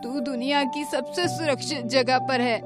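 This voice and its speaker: Woman voicing a slow, high-voiced doting grandmother. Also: steady tone, loud music behind the voice. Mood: doting, proud. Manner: emphatic, fond scolding. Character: doting, scolding old village grandmother. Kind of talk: Hindi cartoon voice acting.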